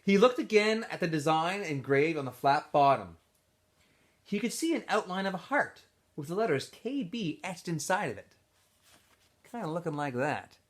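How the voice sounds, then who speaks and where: A man with a slightly high voice reads a story aloud to students. His delivery is very animated in pitch and expressive, with casual asides to the listeners. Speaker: teacher reading aloud to students.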